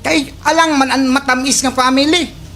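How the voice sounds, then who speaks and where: A woman's voice: sits high, fairly even intonation, normal speed, a bit bright. A woman playing a cheeky, teasing older child with a Waray accent in slapstick radio comedy, full of punchy mockery. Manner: mocking, punchy exclamations. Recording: faint background noise.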